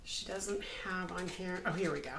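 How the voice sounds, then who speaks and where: A woman in her fifties, slightly hoarse, hosting a casual craft podcast from her home craft room, upbeat and full of ums. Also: on the low side, normal speed, fairly bright tone, slight background noise. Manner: chatty and upbeat, many ums. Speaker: podcast host, crochet designer. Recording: echoey room.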